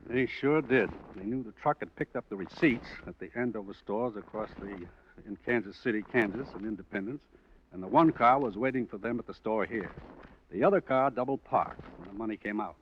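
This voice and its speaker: An American actor playing a weary, practical police lieutenant in a 1950s radio drama, deliberate and matter-of-fact. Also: male voice, on the low side, close to an everyday voice. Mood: practical, brisk. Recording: muffled, faintly noisy old radio recording.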